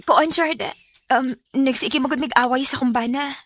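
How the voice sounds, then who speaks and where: A woman acting a mistreated, soft-hearted wife and mother in a radio drama, pleading with a Visayan Filipino accent. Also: high-pitched, steady tone, normal speed, dry recording. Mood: reflective, troubled.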